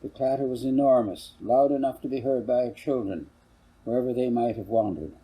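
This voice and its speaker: An older man with a gravelly, husky voice, reading a novel aloud as narrator. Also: medium pitch, a little fast, warm tone, rough recording. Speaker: author reading own novel. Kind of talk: scripted audiobook narration.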